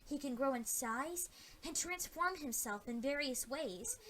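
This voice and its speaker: A woman's voice: high voice, lively intonation, unhurried, fairly bright tone, a little hiss or hum. A woman voicing a dutiful young female pony princess in an earnest, emotional way.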